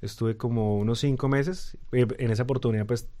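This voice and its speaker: A man's voice: mid-range voice, fairly even intonation, a little fast, dark tone. A young Colombian man with a Colombian Spanish accent, a radio guest talking hesitantly with many fillers and restarts. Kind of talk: casual interview talk.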